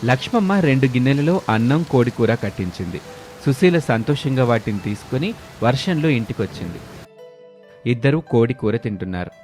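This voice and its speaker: Male storyteller, middle pitch, scripted moral tale narration, faint music behind. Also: big pitch swings, moderate pace, darkish tone.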